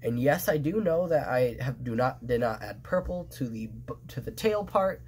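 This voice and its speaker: A man's voice: mid-range voice, lively intonation, moderate pace, a little mellow, a little hiss or hum. Nasal stuffed-up young man rambling. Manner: rambling, many restarts and fillers. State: stuffed nose, sniffling. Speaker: fan artist presenting his drawings. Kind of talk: casual show-and-tell commentary.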